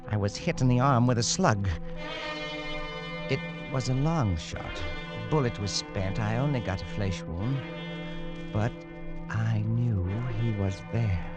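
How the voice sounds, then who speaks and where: A man's voice: mid-range voice, lo-fi sound, close to an everyday voice. A man playing a nervous, haunted colonial traveller in an old radio drama, speaking in an agitated, dramatic manner. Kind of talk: 1940s radio acting. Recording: vintage radio recording, loud music behind.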